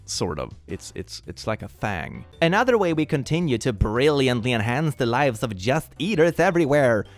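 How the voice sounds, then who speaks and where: A man's voice: medium pitch, big pitch swings, moderate pace, soft background music. A man from Sweden doing comedic reaction commentary, reading posts aloud with mock drama and sarcastic, incredulous asides. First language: multilingual, not native English. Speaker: YouTube commentary host.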